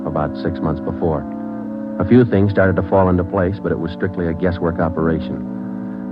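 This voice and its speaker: Low, deadpan actor's American police detective. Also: male voice, close to an everyday voice. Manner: clipped, matter-of-fact, deadpan. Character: terse, businesslike male police detective who narrates. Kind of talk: 1940s radio crime drama acting. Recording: muffled vintage radio recording with music.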